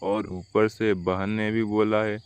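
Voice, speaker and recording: A man plays the eldest brother crow of a children's cartoon, responsible and caring. His voice is fairly low and clear, with very slow delivery. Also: flat delivery. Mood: dejected. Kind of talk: Hindi children's cartoon story dubbing.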